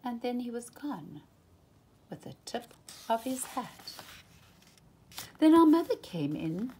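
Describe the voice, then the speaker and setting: A woman's voice: fairly high pitch, big pitch swings, slow, a little mellow, slight background noise. A woman reading a children's picture book aloud with dramatic, emphatic phrasing, as a storytime reader.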